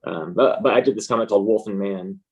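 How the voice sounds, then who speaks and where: An American man with a slightly low voice. He speaks as a guest in a casual interview, informal and laid-back in his delivery. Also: fast talker, darkish tone, breathy edge. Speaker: interview guest, comic artist.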